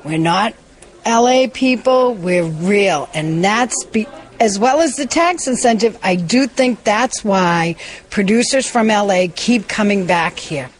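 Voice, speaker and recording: A woman's voice: mid-range voice, lively intonation, unhurried. A woman from Boston with a Boston accent, a casting director speaking emphatically and proudly in an interview.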